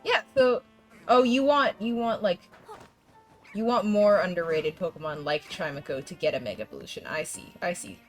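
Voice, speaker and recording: A woman's voice: on the low side, animated, normal speed. Brief: young woman game streamer, stuffy congested voice, rambling casual chat, faint game music behind. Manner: chatty, rambling, frequent laughs and restarts. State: congested, fighting a cold. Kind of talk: casual gameplay chat with viewers.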